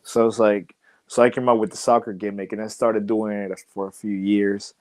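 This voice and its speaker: A man's voice: lowish voice, little pitch movement, normal speed, darkish tone. A young man, a professional wrestler guest on a podcast, talking casually and reflectively with many "like" and "you know" fillers. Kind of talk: casual interview chat.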